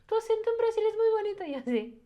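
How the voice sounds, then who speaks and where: A woman hosting a podcast, telling lively stories and asking guiding questions in casual conversation. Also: extremely high pitch, fast talker, muffled recording. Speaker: podcast host.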